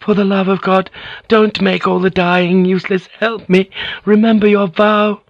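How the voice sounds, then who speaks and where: A man's voice: on the high side, fairly even intonation, brisk, no echo, dull audio, pitched above the performer's own voice, close to an everyday voice. A man voicing a desperate young noblewoman in an audiobook, pleading frantically in a raised, strained voice. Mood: begging. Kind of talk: audiobook character voice.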